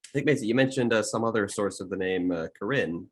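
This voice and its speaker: A man's voice: lowish voice, fast. A man leading a casual discussion, hesitant and often searching for his words. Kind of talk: casual chat.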